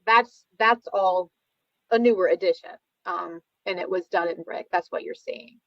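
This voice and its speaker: A woman's voice: on the low side, moderate pace, no echo. Woman, careful staff presenter, tentative informal delivery, dry and dull online-meeting audio. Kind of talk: informal explanation of images.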